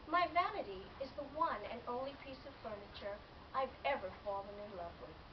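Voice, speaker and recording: A clearly noisy recording, picked up from a distance, carries a woman's fairly high voice. She reads literary fiction in the first person, as scripted narration. Her delivery is measured and expressive. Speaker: author reading own novel excerpt. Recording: dull lo-fi, distant mic, loud background noise.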